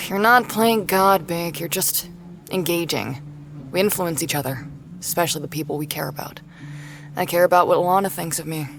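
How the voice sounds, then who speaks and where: A woman's voice: fairly low pitch, normal speed, gravelly, fairly bright tone, slight background noise. A woman playing a blunt, foul-mouthed but caring drinking buddy in an audio drama, with a casual, slangy, wry delivery. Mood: frank, caring. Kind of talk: naturalistic audio drama acting.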